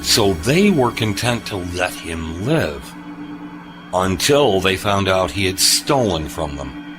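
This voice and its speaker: A man playing a wry, composed spacefarer who speaks gravely and knowingly in a sci-fi audio drama. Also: deep voice, very animated, takes it slowly, over music, heavily acted. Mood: grim.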